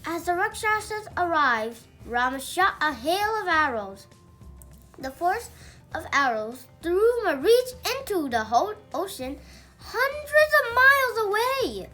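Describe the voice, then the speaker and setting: High-pitched, animated child storyteller. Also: measured pace, faint music behind. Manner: careful, emphatic reading with occasional stumbles. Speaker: young storyteller reading aloud. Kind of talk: read-aloud mythological storybook narration.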